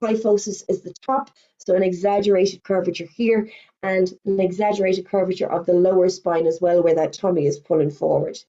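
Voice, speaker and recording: A woman's voice: on the low side, fairly even intonation, mellow, highs cut off. Irish woman with a fading voice. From Ireland. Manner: chatty, quick asides and self-corrections. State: losing her voice. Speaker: fitness instructor. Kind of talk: webinar presentation.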